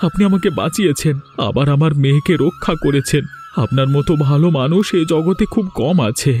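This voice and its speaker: পুরুষ কণ্ঠে নরম গলার আন্তরিক গ্রামের বাবা. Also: male voice, on the high side, brisk, a little mellow, lightly acted. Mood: grateful, emotional. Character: earnest village father of a kidnapped daughter. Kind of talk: Bengali cartoon voice acting. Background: background music.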